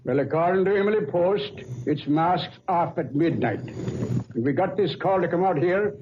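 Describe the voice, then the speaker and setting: Tough wisecracking flatfoot played by a man, slightly high voice, dull old broadcast. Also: normal speed, close to an everyday voice. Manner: brash, streetwise slang. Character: tough, wisecracking beat cop. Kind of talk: 1940s radio acting. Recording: muffled old radio recording with hiss.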